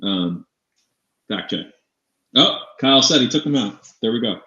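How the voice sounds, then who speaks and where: A man's voice: medium pitch, animated, a little slow, pushed and bright. A man co-hosting a fantasy sports show in casual, informal chat, often repeating phrases for emphasis. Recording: slightly dull, echoey room sound.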